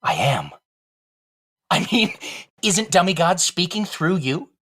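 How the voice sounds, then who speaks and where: A man playing a philosophical wooden ventriloquist dummy in a comic audio play, an insistent, questioning underdog with a husky voice. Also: high-pitched, wildly swooping pitch, takes it slowly, a bit bright, full character voice. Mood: awed, excited.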